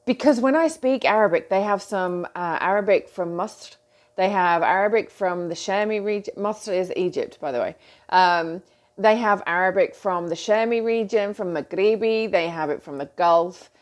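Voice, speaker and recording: A middle-aged British woman talking casually to her followers as a coach, chatty and animated, with frequent ums and asides. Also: medium pitch. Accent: British English. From Britain. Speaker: coach and host. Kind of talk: casual talk to camera.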